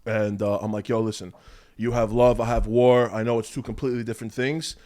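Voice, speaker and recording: A young man in casual podcast chat, talking fast and emphatically, with frequent swearing. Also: lowish voice, level tone, a little mellow. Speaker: podcast guest, clothing brand owner.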